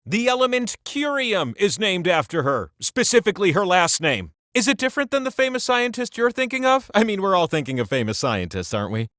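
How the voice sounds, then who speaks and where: A man's voice: high-pitched, big pitch swings, a little fast, few pauses, fairly bright tone, no room sound, studio quality. A man voicing a vain, godlike talking wheel that hosts a trivia game in a campy, theatrical way. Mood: smug, sassy. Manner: campy, dramatic, playful delivery. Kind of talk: theatrical game-show narration.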